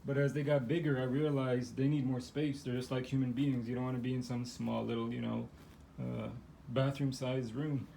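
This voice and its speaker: Man with a fading voice, mellow tone, rambling with fillers, faint room hiss. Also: mid-range voice, level tone, moderate pace, creaky, poor audio. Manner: rambling, many fillers and restarts. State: losing his voice. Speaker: guinea pig owner showing his setup. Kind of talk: casual walkthrough vlog. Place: living room at home.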